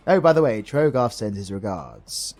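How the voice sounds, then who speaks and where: A man's voice: lowish voice, big pitch swings, normal speed, a little mellow, soft background music. A British man playing a retired supervillain husband in a romantic comedy roleplay, smug, doting and flirty, with theatrical teasing. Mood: playful, sheepish. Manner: theatrical, teasing, cooing endearments.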